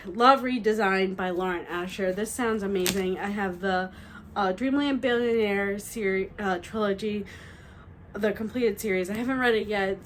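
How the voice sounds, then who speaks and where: A young woman talks through her latest book haul in a loose, chatty way, full of ums and quick restarts. Her voice sounds a little nasal as she gets over a cold, and her pitch sits in the middle. A faint hiss of room noise runs underneath. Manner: chatty, excited, many fillers and restarts. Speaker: book vlogger. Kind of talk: casual book haul chat.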